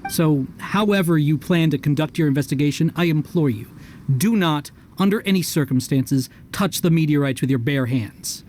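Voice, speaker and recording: A man's voice: on the high side, moderate pace, darkish tone, a little hiss or hum, close to an everyday voice. A man voicing an earnest, polite townsperson with a worried, cautioning manner in an anime video game. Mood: earnest warning.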